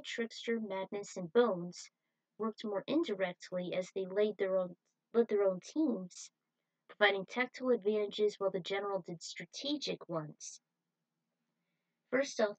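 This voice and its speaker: A woman's voice: mid-range voice, rough recording. A woman reading scripted narration of an action story as its narrator. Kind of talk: scripted fan fiction narration.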